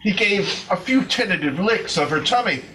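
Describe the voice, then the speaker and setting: A man reading a story in a measured, theatrical, suspenseful way, as a readers theater performer. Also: sits high, lively intonation, very noisy. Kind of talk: dramatic storytelling to an audience. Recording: distant mic, stage show video.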